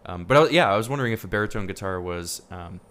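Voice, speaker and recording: A man's voice: deep voice, expressive, fast talker, a bit bright. A man chatting enthusiastically as host, nerdy and curious, with lots of ums. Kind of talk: casual chat.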